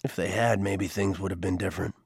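A man voicing a quiet, regretful older mentor, speaking low and subdued. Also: fairly even intonation, brisk, breathy edge, dry recording, clean studio sound, full character voice.

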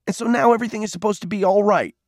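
A man voicing a furious, wounded boy in an audiobook story, bitter and taunting, shouting his accusations. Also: high-pitched, very fast, no room sound, clean studio sound, pitched above the performer's own voice. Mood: bitter, hurt.

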